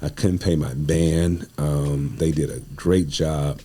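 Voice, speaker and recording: A man's voice: extremely low pitch, fairly even intonation, slow, mellow, husky. A man in his forties telling stories casually as an interview guest, in earnest, informal, street-inflected talk. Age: 40s. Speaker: playwright and producer, podcast guest.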